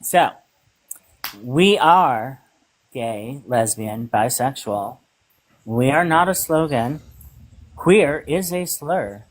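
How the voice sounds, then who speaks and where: A man hosting a casual live-stream chat, speaking in a halting way with many pauses and ums. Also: medium pitch, animated, low-quality recording.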